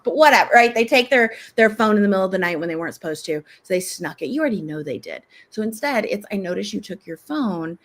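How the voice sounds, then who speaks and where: A middle-aged woman, a parenting expert, giving conversational advice, animated and laughing easily as she acts out what a parent might say. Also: fairly low pitch.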